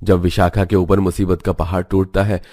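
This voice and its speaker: A man's voice: low voice, level tone, normal speed, dark tone, no room sound, faint music behind. A man reading scripted narration for a children's moral tale as the storyteller.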